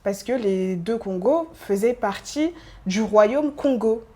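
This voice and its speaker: A woman's voice: on the high side, expressive, takes it slowly, mellow. A woman hosting a casual chat with a guest, easygoing and talkative. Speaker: host.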